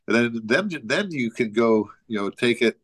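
A man's voice: medium pitch, animated, normal speed, fairly bright tone, no room sound, low-quality recording. A man co-hosting a casual talk show, telling an anecdote in a rambling way with lots of "you know" and frequent chuckles. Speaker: podcast co-host.

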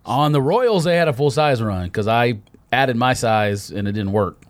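A man's voice: medium pitch, expressive, normal speed, no echo. An American man co-hosting a casual chat, blunt and sarcastic in a dry, offhand way. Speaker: podcast co-host.

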